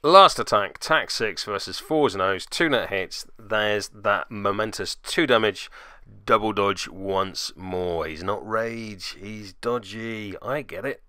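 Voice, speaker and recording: A man's voice: lowish voice, slow pace, sharp tone, smooth, dry recording, studio quality. A man giving wry voice-over play-by-play commentary on a tabletop miniatures game, with self-deprecating asides.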